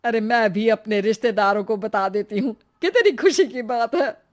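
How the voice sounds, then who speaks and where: A woman voicing a doting middle-aged mother in a cartoon story, loud, teasing and exclamatory. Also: fairly high pitch, moderate pace, natural voice. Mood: delighted.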